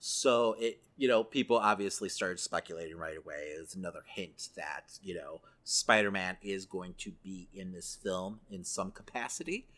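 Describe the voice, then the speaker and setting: A man's voice: low-pitched, moderate pace, hardly any pauses, bright, forceful tone. A man co-hosting a podcast, chatting casually through entertainment news. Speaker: podcast co-host.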